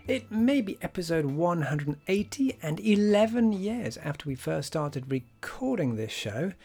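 A man's voice: sits high, very animated, a little slow, gravelly, warm tone. A man hosting a cycling podcast roundtable in a teasing, chatty way, his voice thin and tinny from a badly set up call input. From England. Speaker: podcast host. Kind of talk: casual roundtable chat. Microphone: computer call input. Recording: tinny thin sound from a misconfigured call setup.

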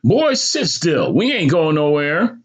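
A man's voice: a little high, wildly swooping pitch, moderate pace, a bit bright, slightly rough, pitched above the performer's own voice, full character voice. A man voicing a stern, scolding mother in a storybook, snapping out orders with exasperation. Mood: firm, commanding. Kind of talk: acted audiobook dialogue.

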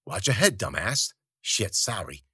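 A man's voice: deep voice, very animated, measured pace, pushed and bright, husky, dry recording, full character voice. Crude male thugs bicker and sneer, their voices jumping up and down, as a man reading a story puts them on. The sound is close and dry.

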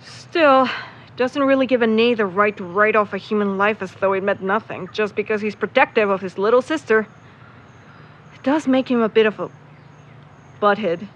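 A woman's voice: mid-range voice, normal speed, dull audio, close to an everyday voice. A woman playing a feisty, brash, foul-mouthed young woman in a naturalistic audio drama. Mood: reflective. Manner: brash, snappy.

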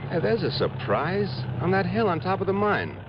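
Earnest, insistent male associate in vintage radio drama, lively pitch, heavy background noise. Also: moderate pace. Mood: alert. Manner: emphatic, insistent, explaining. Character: earnest associate briefing a detective on a suspicion. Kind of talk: 1950s-60s radio drama acting. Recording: muffled old radio, loud engine-like noise.

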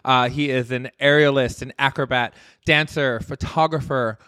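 A man's voice: medium pitch, steady tone, moderate pace, pushed and bright, smooth, dry recording, studio quality. A man from the Midwest hosting a podcast interview, upbeat and chatty, laughing often. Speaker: podcast host. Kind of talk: casual interview chat.

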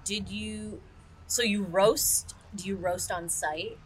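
A woman's voice: mid-range voice, steady tone, slow pace. A woman interviewing in a casual, informal and agreeable conversational way. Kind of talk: casual chat. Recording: noisy ambient background.

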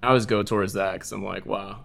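Low, gravelly man giving earnest advice. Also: fairly even intonation, quick pace, fairly bright tone. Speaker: guest. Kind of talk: casual advice in a faith podcast.

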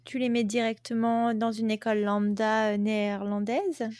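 A woman's voice: on the high side, fairly even intonation, normal speed, darkish tone, clear, resonant tone, no room sound, clean studio sound. A woman hosting a casual interview, asking easygoing questions with brief backchannels. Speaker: podcast host, interviewer.

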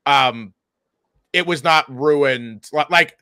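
Male comedy podcaster, bright and slightly high voice, halting casual storyteller, close dry sound. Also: clean tone, no room sound. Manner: halting, repeats words, profane. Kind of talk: casual chat, telling anecdotes.

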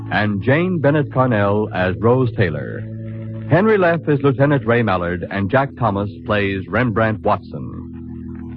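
Lively vintage male radio announcer. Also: on the low side. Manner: formal, polished. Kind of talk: 1950s radio announcing, scripted credits. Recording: muffled old radio recording with music.

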